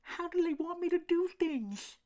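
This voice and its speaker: A woman voicing a sensitive, timid little boy duckling in a storybook, in a high, childlike, earnest voice. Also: lively intonation, measured pace, mellow.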